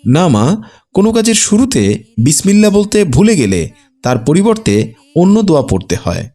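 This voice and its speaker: A man voicing a gentle, patient father in a children's cartoon, teaching in a didactic scripted manner. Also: high-pitched, huge pitch swings, fairly quick, full character voice. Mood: patient, instructive. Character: gentle, instructive father of a small girl.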